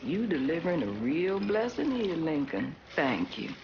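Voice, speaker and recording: A grumbling elderly woman talks with wry, plainspoken gratitude. Her intonation is lively, and the sound is dulled with clear noise behind. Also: low-pitched, normal speed. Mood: wry, weary. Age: older. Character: grumbling, grateful, foul-mouthed elderly neighbor. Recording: muffled voice amid clear ambient noise.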